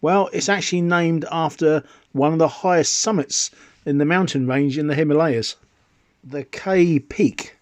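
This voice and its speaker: A man's voice: fairly high pitch, measured pace, no echo. A middle-aged British man narrating an informal product review in a chatty, explanatory way, posing rhetorical questions as he goes. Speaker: video presenter and radio hobbyist.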